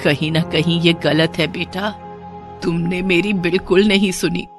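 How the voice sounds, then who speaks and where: Woman playing a pious, measured older mother-in-law. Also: fairly low pitch, fairly even intonation, music in the background, close to an everyday voice. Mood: grave, disappointed. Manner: measured, earnest, moralizing. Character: pious, gentle, aging Indian mother-in-law, patiently advising.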